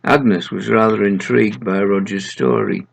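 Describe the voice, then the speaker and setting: Gravelly older man reading stories. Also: fairly low pitch, fairly even intonation, normal speed, slight background noise. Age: 70s. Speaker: author reading his own short stories aloud. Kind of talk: storytelling, reading aloud. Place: small room at home, indoors.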